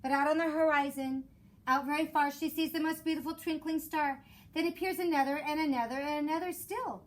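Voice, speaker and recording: A woman who teaches elementary school reads aloud expressively for children in a measured storytelling way. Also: high-pitched. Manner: measured storytelling with rhymed cadence. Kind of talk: expressive children's picture book read-aloud. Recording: clear background noise.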